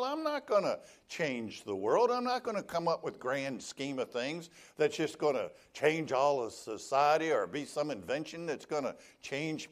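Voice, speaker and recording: Lively storytelling male preacher. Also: fairly high pitch. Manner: storytelling, voicing quoted people. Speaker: pastor. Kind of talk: sermon.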